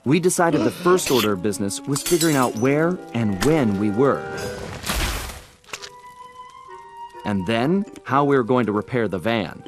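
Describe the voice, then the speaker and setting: An adventurous, easygoing thief voiced by a man tells his story in a video game cutscene with music playing behind him. His delivery is wry and expressive, rising and falling widely and pausing for dramatic effect. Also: medium pitch, natural voice. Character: smooth-talking male cartoon thief, adventurous, easygoing gang leader. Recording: background music under the voice.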